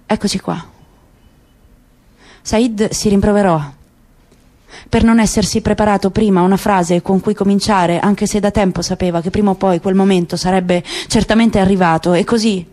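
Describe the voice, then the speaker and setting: Woman narrating slowly with long pauses. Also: mid-range voice, warm tone. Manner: slow, lingering, dramatic pauses. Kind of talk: literary reading for radio.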